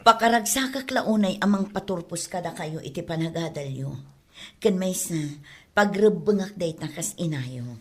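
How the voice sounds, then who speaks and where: A woman's voice: lowish voice, expressive, moderate pace, slightly rough. A woman playing a humble, weary, devoted mother in a radio drama, speaking earnestly and plaintively. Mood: grateful, moved. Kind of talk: radio drama acting in Ilocano.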